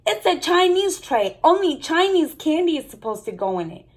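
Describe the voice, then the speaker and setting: A woman's voice: high-pitched, big pitch swings, normal speed, voice pushed up. A woman voicing an insistent, questioning young sister in a storybook, with emphatic delivery. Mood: indignant.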